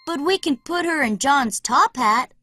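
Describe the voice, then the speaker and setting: A woman voicing a bold, confident boy adventurer who leads other children in an animated children's story, speaking in a commanding, dramatic way. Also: high voice, measured pace, exaggerated voice. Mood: matter-of-fact.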